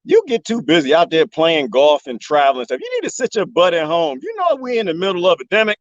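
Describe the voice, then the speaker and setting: A man live streaming from the desert, joking and rambling in a loose, animated way. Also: on the high side, quick pace, no room sound, highs cut off. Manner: animated, joking, imitates bird chirps. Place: outdoors in the desert.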